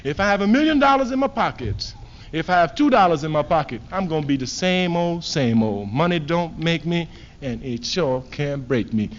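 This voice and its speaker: A man from Trinidad giving a fiery political speech, emphatic and repeating his phrases, swinging from loud declarations to low asides. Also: expressive, moderate pace. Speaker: political speaker. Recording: dull lo-fi archive recording.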